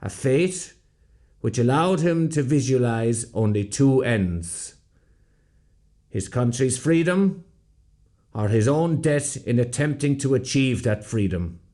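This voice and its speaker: An actor playing a solemn, measured narrator delivering a scripted tribute in a radio play. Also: male voice, mid-range voice, animated, warm tone. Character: solemn narrator honouring a fallen Irish patriot.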